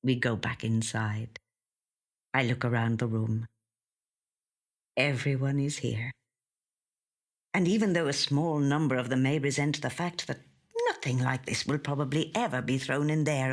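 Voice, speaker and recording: A woman reading a first-person literary short story in a measured, gentle storytelling manner. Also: a bass voice. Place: audio studio.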